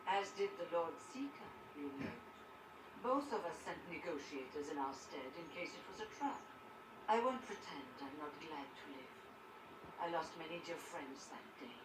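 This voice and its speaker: Composed, low-voiced mage leader. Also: female voice, expressive. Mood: composed, persuasive. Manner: formal, measured. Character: composed, diplomatic female leader of rebel mages. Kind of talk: fantasy video game acting. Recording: echoey distant game capture, noisy.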